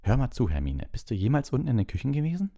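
Man playing a cheeky schoolboy, pitched up. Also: sing-song, very fast, vocal fry, warm tone. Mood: confiding. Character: cheeky, confiding teenage schoolboy.

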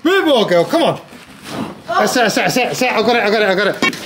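A man's voice: high-pitched, big pitch swings, quick pace. A man in his thirties from England, chatting casually and coaxing his partner while stripping out a stable. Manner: bossy, coaxing, sweary. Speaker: vlogger. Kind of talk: casual vlog chat while renovating. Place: empty wooden stable building, indoors. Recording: echoey stable with music underneath.

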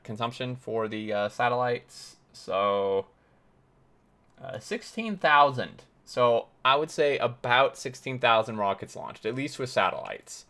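Male gaming host, mid-pitched, hoarse and tired, chatty and enthusiastic. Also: lively intonation, measured pace, bright, forceful tone. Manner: enthusiastic, rambling with many fillers. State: hoarse, losing his voice. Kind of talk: casual game tour commentary.

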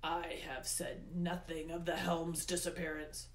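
A woman voicing a furious, imperious male god of the underworld for a storybook, with booming, emphatic, drawn-out words. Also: lightly acted. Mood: bitter anger. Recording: roomy, echoey indoor sound.

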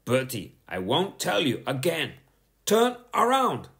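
A man's voice: fairly high pitch, sing-song, a little slow, pitched above the performer's own voice. A man voicing a stern, bossy woman schoolteacher who snaps and thunders her orders. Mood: stern, scolding. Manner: snapping, thundering commands.

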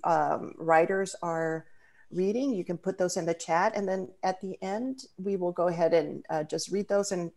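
A woman's voice: fairly low pitch, creaky, a little mellow. A woman from the Dominican Republic, a native Spanish speaker, hosting a video call event in a thoughtful way with frequent fillers and restarts. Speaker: host.

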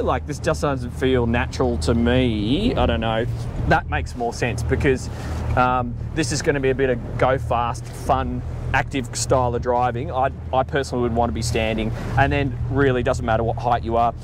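An Australian man in his forties hosting a boat review, talking casually on a lapel mic aboard an open boat. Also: mid-range voice. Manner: chatty, easygoing, jokey with filler ums. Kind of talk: casual on-camera walkthrough. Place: open boat on a harbour, outdoors. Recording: outdoor wind and boat engine noise.

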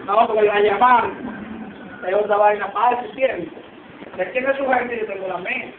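A young man bantering loudly and teasing his friends in casual talk. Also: sits high, fairly even intonation, normal speed, background noise. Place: open-fronted barbershop with street grille. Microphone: handheld phone camera. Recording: echoey, distant, tinny phone camera audio.

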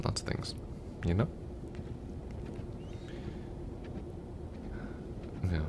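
A man's voice: a little fast, heavy background noise, natural voice. A young man playing a chatty, goofy, affectionate long-distance boyfriend in a roleplay audio, talking casually in a rambling, hesitant way. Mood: tender, affectionate. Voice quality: very deep, gravelly.

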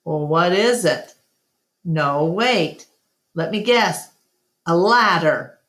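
A sceptical little male stray dog from a storybook, voiced by a woman reading aloud. He sounds sniffy and offhand, questioning things at an unhurried pace. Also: fairly low pitch, lively intonation, fairly bright tone, roomy sound. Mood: doubtful.

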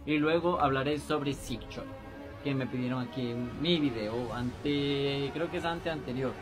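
A man's voice: mid-range voice, measured pace, over music. A young man in his twenties with a Costa Rican accent, chatting animatedly to fans from a small bedroom. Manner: animated, gestures a lot. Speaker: fan voice actor, channel host. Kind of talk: casual video chat to fans.

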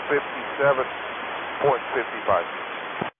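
A man's voice: mid-range voice, measured pace. A man in an aircraft giving procedural reports over radio. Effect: radio transmission. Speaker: aircraft crew reporting to tower. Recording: tinny radio with loud hiss.

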